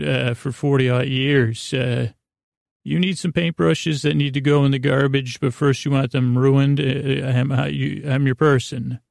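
A middle-aged man with a creaky, gravelly voice in a close, dry recording. He hosts a sleep podcast, wandering through a self-deprecating monologue, often stopping and restarting his thoughts. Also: medium pitch, a little mellow, no echo. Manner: halting, meandering, self-interrupting. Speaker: podcast host.

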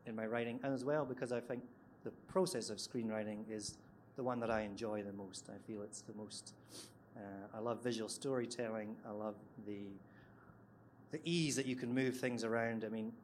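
A man with a Scottish accent, speaking as a screenwriter on a live panel discussion, relaxed and a little hesitant. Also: on the low side, normal speed, a little hiss or hum. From Scotland. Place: event venue, live audience.

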